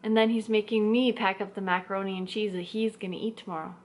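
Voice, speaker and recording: A woman's voice: medium pitch, steady tone, fast. Woman, 30s, mid-range voice, wry teasing casual chat, camera at arm's length, living room. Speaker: vlogger's wife. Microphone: camera held at arm's length.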